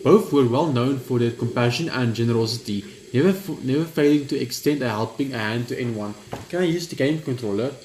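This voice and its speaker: A young man giving casual gameplay commentary and reading aloud, halting and stumbling over words as he goes. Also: medium pitch, darkish tone. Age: young adult. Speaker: gaming video host. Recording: roomy echo, clear background noise.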